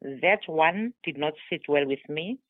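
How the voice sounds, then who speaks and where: A woman from South Africa whose first language is Tswana, a guest author calling in to an interview and telling her life story in a measured, deliberate way. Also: deep voice, animated, dry recording. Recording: dry, tinny phone line.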